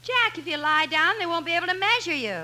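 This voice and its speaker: A woman's voice: extremely high pitch, expressive, quick pace. An actress playing a sardonic, bossy lady friend in a 1950s radio comedy, with dry, teasing delivery. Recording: noisy old radio recording.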